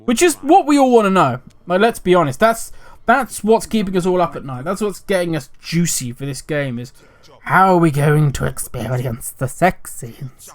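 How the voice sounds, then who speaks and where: A fairly high-voiced man runs his gaming channel with lively swings in pitch. He jumps between loud outbursts and quiet self-mocking mutters while he reads an article aloud. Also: moderate pace. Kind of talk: casual gaming commentary, reading an article aloud.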